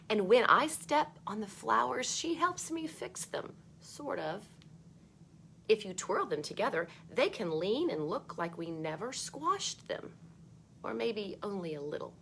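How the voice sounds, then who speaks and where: A woman reading a picture book aloud for children, expressive, with chatty asides spoken straight to young listeners. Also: a little high, measured pace, a little hiss or hum.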